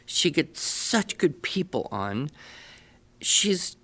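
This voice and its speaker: A middle-aged man hosting a podcast interview, speaking conversationally in deliberate, broken-up phrases. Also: fairly high pitch, very animated, a bit bright.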